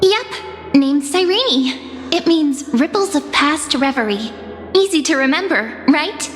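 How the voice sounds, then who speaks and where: A mysterious, gently upbeat young game heroine. Her high voice is playful and reassuring, trailing long reverb with faint music behind it. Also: animated, takes it slowly. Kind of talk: video game voice acting. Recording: long reverberant hall effect.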